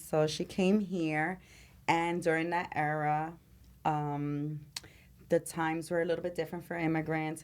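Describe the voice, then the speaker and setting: A woman talks with a guest's ease. Her voice sits low, and she speaks openly about herself, with frequent laughter and many "you know"s scattered through her sentences. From Venezuela. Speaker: guest, nightlife promoter. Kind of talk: casual interview chat.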